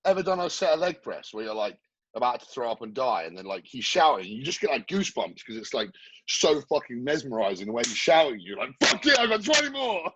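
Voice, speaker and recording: A man's voice: mid-range voice, sing-song, a little fast, a bit bright, breathy edge, low-quality recording. A man in his twenties co-hosting a casual podcast chat, telling stories animatedly with plenty of swearing. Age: 20s. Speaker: podcast co-host.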